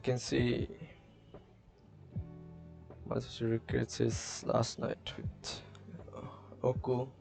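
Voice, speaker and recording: Man from Bangladesh, deep fry in the voice, slow pace, casual manga commentary, faint music. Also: flat delivery. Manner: halting, loosely paraphrasing as he reads. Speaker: streamer reading manga aloud. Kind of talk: casual read-along commentary.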